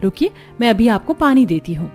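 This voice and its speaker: A woman's voice: on the high side, sing-song, brisk, darkish tone, soft background music. A woman voicing a deferential, slightly anxious housemaid in a cartoon. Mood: anxious, helpful.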